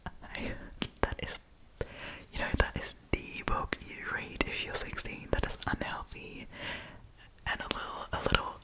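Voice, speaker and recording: A woman speaking in a breathy whisper, chatty and informal, as an ASMR storytime host reading and commenting casually. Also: unhurried, poor audio.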